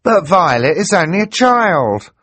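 A man's voice: high-pitched, big pitch swings, a little slow, a bit bright, no echo, pitched above the performer's own voice, heavily acted. A man doing a protesting stage actor. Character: shocked adult stage actor.

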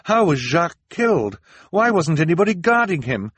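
A man's voice: a little high, big pitch swings, normal speed, a bit bright, breathy edge, no echo, pitched above the performer's own voice, exaggerated voice. A man voicing an earnest, questioning young girl in a storybook, insistent and urgent. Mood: urgent, upset.

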